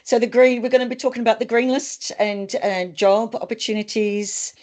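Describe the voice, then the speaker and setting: A woman's voice: fairly high pitch, little pitch movement, normal speed, darkish tone. A woman with a New Zealand accent hosting a webinar as a migration consultant, friendly and a little hesitant, with fillers. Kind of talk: webinar presentation.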